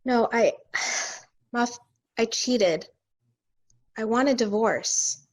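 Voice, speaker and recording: A woman reading the part of a blunt, uneasy estranged wife in a dramatic table read, insistent yet halting. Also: a little high. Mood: uneasy, resolute.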